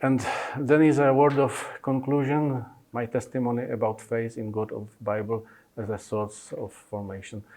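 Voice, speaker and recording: A man in his fifties whose first language is Polish, presenting his book with many pauses, fillers and restarts in non-native phrasing. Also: mid-range voice, level tone, warm tone. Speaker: consultant pediatrician.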